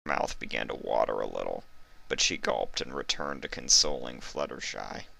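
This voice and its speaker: A man's voice: extremely low pitch, expressive, moderate pace, vocal fry, pushed and bright. A man reading fan fiction aloud as scripted story narration.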